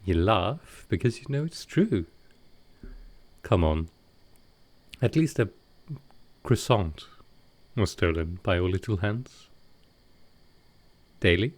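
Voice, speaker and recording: A man playing a gentle, wistful ancient vampire in an intimate audio roleplay, soft-spoken and hesitant with halting pauses. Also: low voice, expressive, exaggerated voice. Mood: gently teasing.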